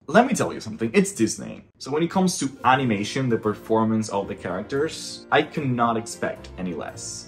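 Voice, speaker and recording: A man's voice: mid-range voice, fairly bright tone. A man from the Dominican Republic, a native Spanish speaker, giving a casual, opinionated film review in an emphatic, conversational way.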